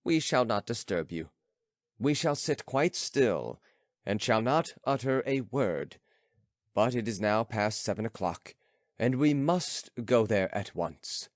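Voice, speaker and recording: Man reading as an animated, earnest young student. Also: mid-range voice, takes it slowly, no room sound, studio quality. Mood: pleading. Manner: formal, earnest. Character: earnest young male student, polite, solemn, pleading.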